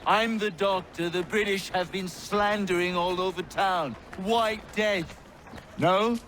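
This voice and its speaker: A man playing a bitter, wary colonial doctor in a video game, speaking in a sardonic, deliberate way. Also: sits high, fairly even intonation, fairly bright tone, slight background noise. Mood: bitter, suspicious. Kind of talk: video game cutscene acting.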